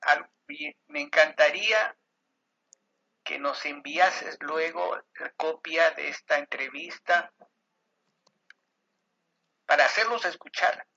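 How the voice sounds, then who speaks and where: A man speaks as a radio interview guest in a measured, appreciative, conversational way. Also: fairly high pitch, vocal fry, bright, forceful tone. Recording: dull lo-fi remote audio.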